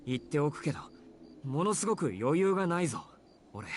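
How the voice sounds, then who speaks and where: A man's voice: a little high, expressive, very fast, slight background noise, lightly acted. A man voicing a curt, weary teenage boy. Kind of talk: Japanese game voice acting.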